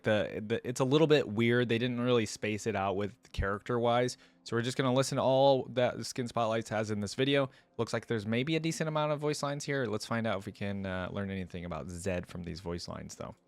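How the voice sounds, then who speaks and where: A man's voice: mid-range voice, brisk, hardly any pauses, dry recording. A man hosting a reaction video, chatting casually and thinking aloud as he talks to his viewers. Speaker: host of a game voice-line reaction video.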